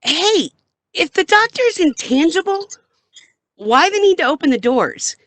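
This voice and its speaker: A woman's voice: extremely high pitch, big pitch swings, unhurried, a bit bright, no echo, exaggerated voice. A woman playing an anxious but plucky young female traveler in an audio drama.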